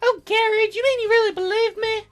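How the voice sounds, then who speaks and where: A woman's voice: very high voice, steady tone, fast talker, soft background music, pitched above the performer's own voice. A woman voicing a playful, teasing young fairy girl in a visual novel, with a girlish, sing-song delivery. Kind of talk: visual novel character read in a let's play.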